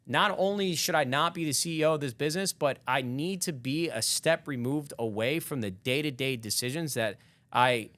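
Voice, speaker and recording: A man's voice: mid-range voice, normal speed, fairly bright tone, dry recording. A man in his thirties talking candidly on a podcast, reflective and rambling. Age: 30s. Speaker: podcast guest. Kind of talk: casual podcast chat.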